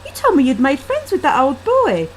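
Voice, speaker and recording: A woman's voice: sits high, huge pitch swings, moderate pace, voice pushed up. A woman voicing an earnest, concerned young female friend in a short story. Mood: insistent. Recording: faintly noisy laptop webcam microphone.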